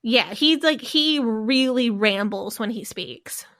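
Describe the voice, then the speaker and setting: A woman's voice: a little high, animated, slow, fairly bright tone. A woman co-hosting a podcast, telling a story in a casual, rambling way. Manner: storytelling, with frequent fillers and restarts. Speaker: podcast co-host.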